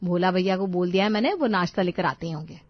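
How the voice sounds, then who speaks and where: A woman's voice: on the low side, quick pace, no room sound, lightly acted. Lady doing an affectionate bossy saas, teasing scold, family story drama, slightly dull audio. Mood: fond scolding. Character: bossy, affectionate mother-in-law of an easygoing household.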